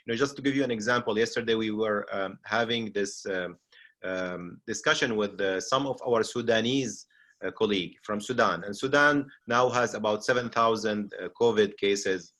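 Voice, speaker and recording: A middle-aged man with a Syrian Arabic accent, moderating a seminar as a physician and speaking off the cuff with frequent pauses. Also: fairly low pitch, a bit bright. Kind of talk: unscripted seminar discussion.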